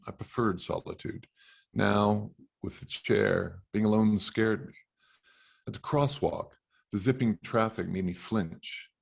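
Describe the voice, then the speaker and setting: Low-voiced man reading literary fiction. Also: vocal fry. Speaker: author reading from own novel. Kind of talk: literary audiobook-style reading.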